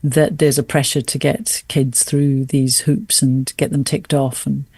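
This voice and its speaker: A woman's voice: rumbling low, flat delivery, a little slow, a little mellow, breathy edge, dry recording. A middle-aged woman speaking earnestly and articulately as an interview guest, with emphatic phrasing. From raised in South Asia, educated in Australia. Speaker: podcast guest, author and former English teacher. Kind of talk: conversational interview.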